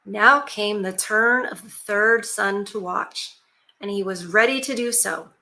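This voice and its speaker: A woman reading an old fairy tale aloud for her channel, mixing steady storytelling with chatty asides to her viewers. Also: medium pitch, unhurried, low-quality recording. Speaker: YouTube storyteller.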